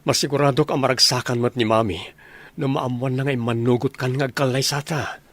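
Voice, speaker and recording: A man's voice: mid-range voice, steady tone, brisk, a bit bright, close to an everyday voice. A man with an Ilocano accent playing an earnest, lovestruck young man and devoted son in a comedy radio drama. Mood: earnest, happy.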